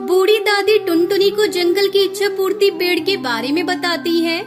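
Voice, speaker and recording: A woman's voice: very high voice, normal speed, over music. A woman narrator reading a scripted children's story.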